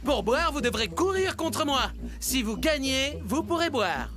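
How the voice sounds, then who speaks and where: Cartoon cheetah: boastful young male, high voice, unhurried, showy delivery, music underneath. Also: big pitch swings. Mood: bossy, boastful. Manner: cocky, showy. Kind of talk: animated series dubbing. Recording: music behind.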